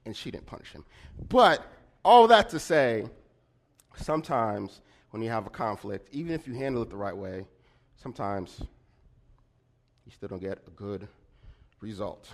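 Animated man preaching through a story. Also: moderate pace, pauses often. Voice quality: slightly nasal and deeper than usual. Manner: animated storyteller with frequent "right?" check-ins. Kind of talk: storytelling sermon.

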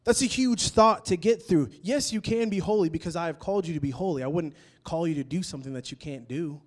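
A young man preaching a casual, animated sermon, joking with his listeners as he explains a word from the Bible. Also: fairly high pitch, fairly quick. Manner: conversational and animated, jokes with the crowd.

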